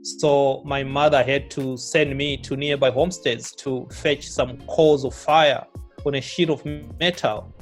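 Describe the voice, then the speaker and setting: A middle-aged man from Zimbabwe speaks in a middle-pitched voice, telling his story slowly and reflectively. He pauses often with fillers and restarts his sentences. Faint music plays underneath. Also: fairly even intonation. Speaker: guest, author and businessman. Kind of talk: relaxed life-story interview.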